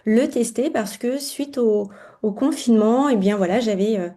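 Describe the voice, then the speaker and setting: A woman's voice: fairly high pitch, moderate pace, warm tone. A woman in her forties giving a personal testimony on a video call, hesitant and full of fillers. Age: 40s. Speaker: guest client giving testimony. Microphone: video call mic.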